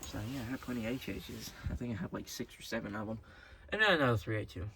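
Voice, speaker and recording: A man's voice: mid-range voice, lively intonation, fast talker, creaky, sharp tone. Rambling American man, noisy home recording. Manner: rambling, hesitant, self-correcting. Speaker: radio collector showing off collection. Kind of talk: casual, unscripted show-and-tell. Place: indoors at home.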